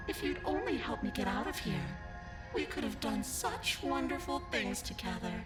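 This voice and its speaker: A woman's voice: extremely high pitch, very animated, moderate pace. A woman voicing a menacing, sadistic princess in a horror game, taunting with mock pity. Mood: taunting regret. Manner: taunting, theatrical, mock-pitying. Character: menacing nightmare princess, sadistic, mockingly sweet monster. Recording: loud music under the voice.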